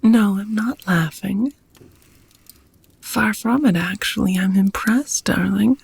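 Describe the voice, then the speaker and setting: A woman playing an affectionate, languid female vampire lover in an ASMR romantic roleplay, speaking softly in breathy whispers with slightly formal phrasing, close to the microphone. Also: medium pitch, takes it slowly, no room sound, exaggerated voice. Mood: earnest, fond. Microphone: close microphone, dry.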